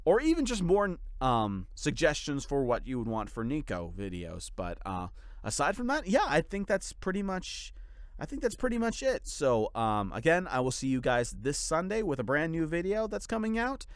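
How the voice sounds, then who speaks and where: A man giving a casual update to his followers as a channel creator, speaking in a gushing, grateful, informal way with a clear, smooth voice. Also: on the high side, very animated, normal speed, no room sound, studio quality.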